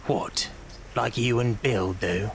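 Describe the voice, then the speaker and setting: An actor playing a wily old leader of child pickpockets in an audio drama, coaxing in drawn-out phrases with faint menace. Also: male voice, lowish voice, steady tone, slight background noise. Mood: dry, wary.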